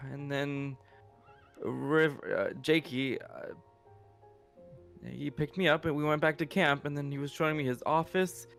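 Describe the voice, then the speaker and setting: A man playing a reformed young villain in an improvised game roleplay, meek, earnest and halting as he pleads. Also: fairly high pitch, fairly bright tone. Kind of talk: improvised Minecraft roleplay acting. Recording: music behind the voice.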